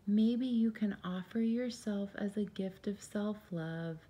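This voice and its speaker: A woman leading a guided meditation in a soft, soothing, gentle and unhurried voice, breathy at times. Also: mid-range voice.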